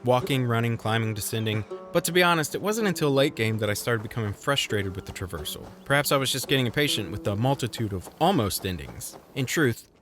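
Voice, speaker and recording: A man with a mid-pitched voice narrates a scripted video game review. His delivery is wry, with a touch of theatrical flourish. Music plays clearly behind him. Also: animated, quick pace, few pauses.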